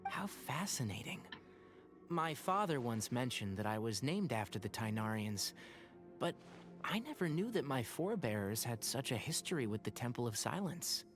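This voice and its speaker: A man's voice: mid-range voice, very animated, moderate pace, music in the background, close to an everyday voice. An actor voicing a curious, thoughtful young male forest scholar in scripted video game dialogue. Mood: intrigued, thoughtful.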